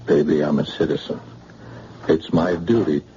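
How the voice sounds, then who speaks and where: An actor playing a frightened husband who witnessed a crime, speaking tensely from a hospital bed in an old radio drama style. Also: male voice, extremely low pitch, fairly even intonation, normal speed, warm tone, a little hiss or hum. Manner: tense, defensive, then defiant. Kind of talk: 1940s radio acting. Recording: dull old radio broadcast.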